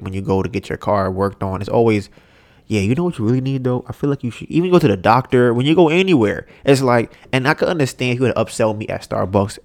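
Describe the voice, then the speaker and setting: A young man hosting a podcast, ranting casually with a stuffy, nasal voice and lots of swearing. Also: no room sound. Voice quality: congested, nasal. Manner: animated, mocks others in high put-on voices, swears. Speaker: podcast host. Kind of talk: casual solo rant.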